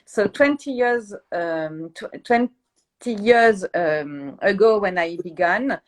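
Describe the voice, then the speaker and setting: A woman's voice: medium pitch, lively intonation, takes it slowly. A middle-aged woman from France with a French accent, a guest explaining her fashion PR work in a live interview, searching for her words in English.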